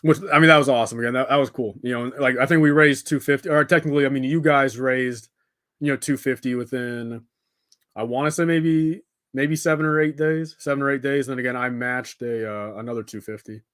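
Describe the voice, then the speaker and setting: A man's voice: mid-range voice, fairly even intonation, brisk, fairly bright tone, no echo, studio quality. A young adult man chatting casually and informally on a livestream, rambling along and often saying dude and man. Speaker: live streamer hosting a building stream. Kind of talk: casual unscripted livestream chat with viewers.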